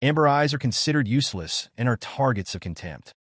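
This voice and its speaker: A composed young manga mage, voiced by a man, weary and brooding. He speaks with restraint at a middle pitch that still moves around a lot, with a dry close sound. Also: expressive, brisk, no echo, lightly acted.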